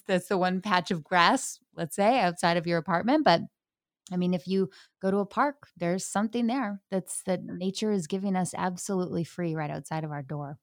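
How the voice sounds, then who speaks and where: A woman's voice: medium pitch, no echo, clean studio sound. A woman hosting an interview, talking enthusiastically and telling stories with fillers. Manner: enthusiastic, warm storytelling with fillers. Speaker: host. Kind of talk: casual interview chat.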